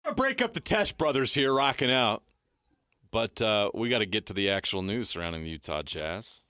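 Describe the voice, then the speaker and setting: A low-pitched man with a bright, forceful edge hosts a sports radio show from the studio. He talks briskly, steering the segments and rattling off news and injury reports. The sound is dry and close on a studio microphone. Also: sing-song, clean tone, dry recording.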